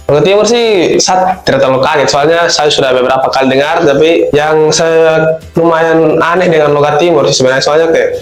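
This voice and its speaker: A young man from Alor, a student, speaking in a measured way in an interview. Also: on the high side. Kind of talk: casual testimonial. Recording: echoey room with music bed.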